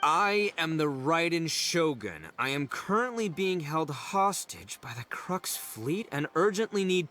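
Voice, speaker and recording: A man's voice: on the high side, a bit bright, a little hiss or hum. A man voicing a brash, dim, big-hearted young gang boss who blusters and bumbles theatrically in a video game. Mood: declaiming.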